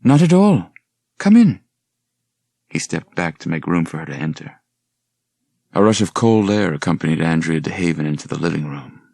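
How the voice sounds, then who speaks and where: A man with a very low, gravelly voice narrates a horror novel. He reads in a measured, dramatic storytelling style, and the sound is dry and close. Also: fairly even intonation, a little mellow, dry recording. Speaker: audiobook narrator.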